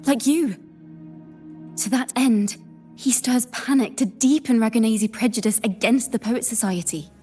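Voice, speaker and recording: Woman as thoughtful young bard, lightly high voice. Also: warm tone, close to an everyday voice. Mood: pensive, grave. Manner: measured, reflective. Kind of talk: video game voice acting. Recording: music behind the voice.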